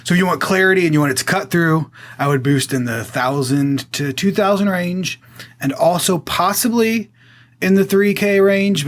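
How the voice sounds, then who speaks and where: A man with a boomy voice explaining audio mixing in a relaxed, casual way, often pausing with fillers. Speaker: guest audio engineer.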